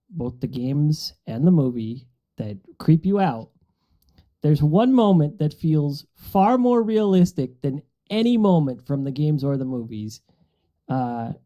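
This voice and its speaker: A man's voice: fairly high pitch, mellow, muffled recording. A man chatting casually as a podcast panelist and telling stories conversationally. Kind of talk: casual chat, storytelling.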